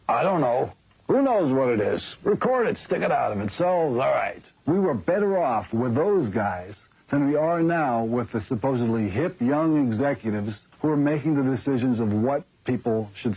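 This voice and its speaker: A man's voice: medium pitch, creaky, mellow, husky. A man speaking opinionatedly in an archival interview clip, sardonic as he tells a story, on dull lo-fi audio.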